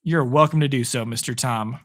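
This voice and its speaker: A man hoarse from hours of talking, giving live play-by-play and chatting upbeat with viewers on his stream. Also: mid-range voice, brisk, fairly bright tone. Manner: chatty, upbeat, reads viewer comments aloud. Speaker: sports streamer. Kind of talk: live play-by-play and chat reactions.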